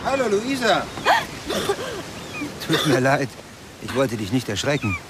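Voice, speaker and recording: An actor playing a mild middle-aged father in a radio crime drama, gently probing with short questions and replies. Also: medium pitch, wildly swooping pitch, unhurried. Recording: very noisy track with surf-like ambience.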